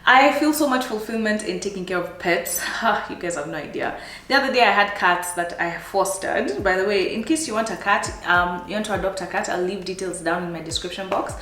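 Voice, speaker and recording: A woman in her thirties with a Kenyan accent, chatting casually to her viewers in a life-update vlog, animated and laughing often. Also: mid-range voice, fairly quick, reverberant. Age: 30s. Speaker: vlogger.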